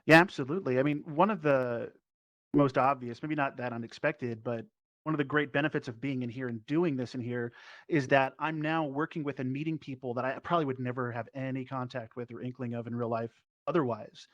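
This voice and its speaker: A man's voice: medium pitch, steady tone, a little fast, no echo. Enthusiastic man on a panel, muffled dry audio. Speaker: panelist, performer. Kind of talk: casual panel discussion. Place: virtual reality social app session. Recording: muffled online voice chat.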